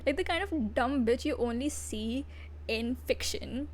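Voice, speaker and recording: High-voiced woman, blunt and profane. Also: measured pace. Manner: blunt, profane, with giggly asides. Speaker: VTuber reviewer. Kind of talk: casual livestream review.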